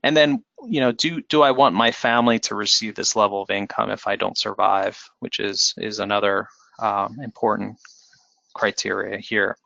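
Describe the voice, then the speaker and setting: A man presenting a webinar as a financial advisor, explaining in a measured way with frequent fillers and restarts. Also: lowish voice, steady tone, creaky, pushed and bright, dry recording. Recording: dull lo-fi webinar audio.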